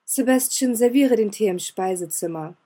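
A cold, sulky boy earl who clips his words short and keeps a sarcastic bite, read by a woman. The voice stays mellow and a little husky, close to the reader's own. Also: mid-range voice, moderate pace, close to an everyday voice.

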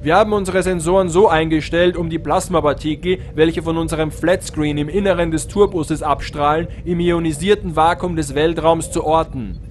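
A man playing an excitable, wisecracking spaceship captain in a comedic sci-fi audio drama. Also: a little high, normal speed, hardly any pauses, a little hiss or hum, close to an everyday voice. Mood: pompous, businesslike.

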